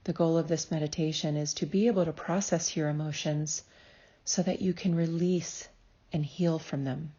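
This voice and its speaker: A woman leading a scripted guided meditation as a psychologist, speaking in a soothing, measured, gently instructive manner. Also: low voice, steady tone, highs cut off.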